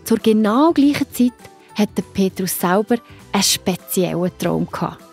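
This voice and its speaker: A woman narrating a children's Bible story, gently addressing the young listener. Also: medium pitch, animated, normal speed, vocal fry, husky, faint music behind.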